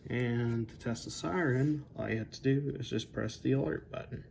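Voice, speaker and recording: A man aged twenty-one giving a casual, unscripted demonstration as a hobbyist, halting and pausing mid-sentence. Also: medium pitch, gravelly. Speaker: hobbyist showing weather radios. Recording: home recording with faint background noise.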